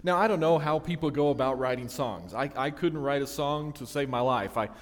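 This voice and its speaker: A man's voice: mid-range voice, a little fast. A man preaching a sermon to his church in a casual, self-deprecating way, often restarting his sentences. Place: church sanctuary. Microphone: handheld or stand microphone. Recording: long church reverb.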